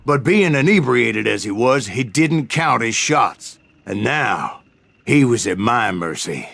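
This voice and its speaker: An actor playing an older, weathered bounty hunter in a Western game, telling his tales in a folksy, wry, measured way. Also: mid-range voice, big pitch swings, gravelly, fairly bright tone, slight background noise, exaggerated voice. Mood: wry, reflective. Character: older weathered male bounty hunter recounting his Old West exploits. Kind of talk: storytelling narration in a Western game. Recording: music behind the voice.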